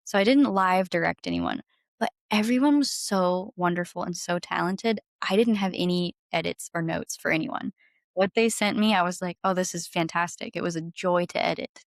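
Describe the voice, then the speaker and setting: A woman's voice: fairly low pitch, moderate pace, vocal fry, no echo, clean studio sound. A woman talking casually and enthusiastically as a guest in an interview, effusive about her creative work. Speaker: podcast guest, singer-songwriter and audio drama creator.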